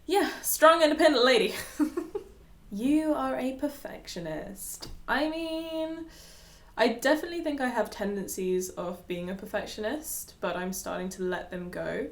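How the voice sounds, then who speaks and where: A woman's voice: on the high side, a little slow. Chatty giggly young woman, roomy echo. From Dubai. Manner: chatty, giggly, with many fillers. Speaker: vlogger and yoga teacher. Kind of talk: casual chat to camera.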